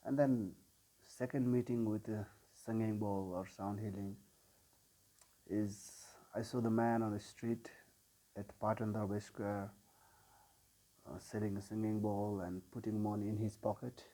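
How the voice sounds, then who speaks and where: A man in his forties with a Nepali accent tells his own story as a sound healer. His voice is mellow and rather low, and he speaks very slowly with many pauses and filler sounds. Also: little pitch movement, low-quality recording. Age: 40s. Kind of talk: personal life story told to camera.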